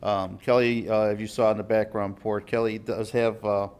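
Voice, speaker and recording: A man with a lowish voice presents a formal report at a village board meeting. He is businesslike and pauses on fillers and restarts as he goes. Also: level tone, a little mellow, clean tone. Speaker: deputy liquor commissioner.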